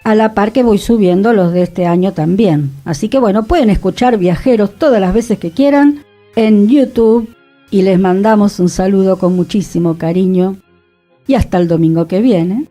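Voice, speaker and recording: A woman, the director and co-host of a radio show, talking in a thoughtful, unhurried way. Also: mid-range voice, warm tone, faint music behind. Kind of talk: relaxed radio conversation.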